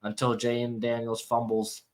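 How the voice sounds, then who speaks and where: A man's voice: mid-range voice, monotone, moderate pace. A young man hosting a football podcast, congested from a cold, rambling through a casual game breakdown and often repeating and correcting himself. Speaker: sports podcast host.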